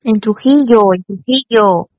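A woman's voice: on the high side, unhurried, dry recording. Woman on a muffled phone call. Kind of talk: casual private phone conversation. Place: phone call, one side heard. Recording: dull lo-fi intercepted phone call audio.

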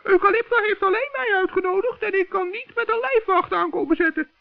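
A man voicing a good-hearted, stubborn little forest gnome in a children's radio play, squeaky, creaky and earnest. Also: fairly quick, no room sound. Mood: determined. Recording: dull, dry vintage radio recording.

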